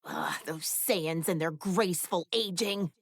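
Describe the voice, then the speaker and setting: A woman's voice: fairly low pitch, huge pitch swings, unhurried, no room sound, studio quality, heavily acted. A woman voicing a self-assured, teasing middle-aged friend in an anime video game dub, speaking in a wry, casual way. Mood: amused. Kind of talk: English-dubbed anime game voice acting.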